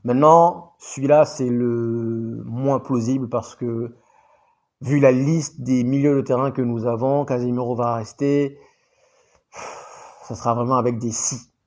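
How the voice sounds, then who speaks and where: Male football vlogger, mid pitch, conversational and animated, addresses viewers directly. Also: measured pace. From grew up in Saudi Arabia. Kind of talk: casual commentary to viewers.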